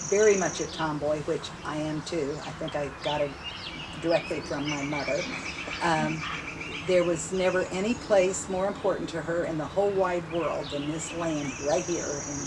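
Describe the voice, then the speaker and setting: A woman in her seventies warmly recounting family memories in a relaxed, chatty interview. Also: sits low, normal speed. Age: 70s. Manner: chatty, reminiscing, laughs easily. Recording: outdoor noise with insects and birds. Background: insects and birds.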